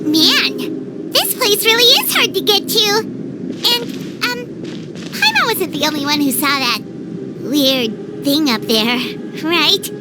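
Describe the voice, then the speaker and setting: A woman voicing a tiny, excitable, childlike fairy companion in a video game, with a squeaky voice. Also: slow, heavily acted. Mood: uneasy. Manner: refers to self in third person. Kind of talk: video game character acting. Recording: loud ambient game noise behind.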